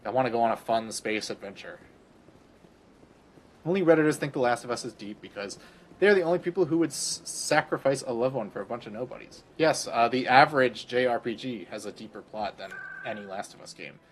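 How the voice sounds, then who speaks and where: A man's voice: medium pitch, brisk, slight background noise, rough recording. A man streaming a game, rambling crudely in casual commentary and laughing often.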